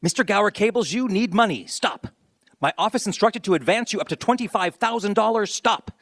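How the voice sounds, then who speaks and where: A man's voice: sits high, animated, a little fast, a bit bright, no echo, exaggerated voice. An actor playing a declamatory townsman in a live radio play, reading aloud as if proclaiming. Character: bright announcer-like townsman reading out a festive telegram.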